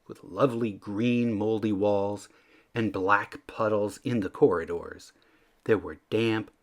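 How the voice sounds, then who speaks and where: A man reading a children's story aloud in an expressive storytelling style. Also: fairly low pitch. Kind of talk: expressive scripted storybook narration.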